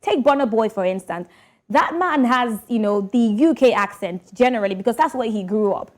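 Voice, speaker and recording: A woman's voice: a little high, lively intonation, moderate pace. A woman, an entertainment presenter speaking passionately and persuasively on a panel. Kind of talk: opinionated panel discussion.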